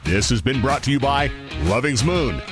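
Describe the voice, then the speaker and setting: A man's voice: mid-range voice, very animated, moderate pace. A man reading a scripted podcast outro and list of sponsors as announcer. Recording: over clear guitar music.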